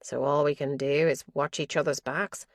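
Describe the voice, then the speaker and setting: A woman voicing a weary, dogged male detective in an audiobook, with level, measured questions. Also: low-pitched, fairly even intonation, no echo, clean studio sound. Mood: grim.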